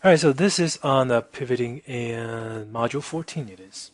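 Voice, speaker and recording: A man teaching a casual software demonstration, informal and chatty, often checking in with the listener, with a husky voice. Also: mid-range voice, normal speed, no room sound. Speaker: instructor.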